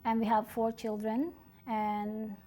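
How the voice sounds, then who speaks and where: A middle-aged woman from the Philippines, a guest on an interview, speaking earnestly and haltingly. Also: a little high, level tone. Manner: slow, halting, earnest, soft-spoken. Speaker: author and guest. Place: studio.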